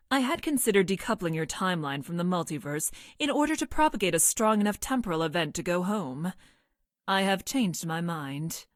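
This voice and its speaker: Woman as clinical young female scientist from the future, video game; lowish voice, deadpan, precise, dry close recording. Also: dry recording, close to an everyday voice. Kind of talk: video game character voice lines.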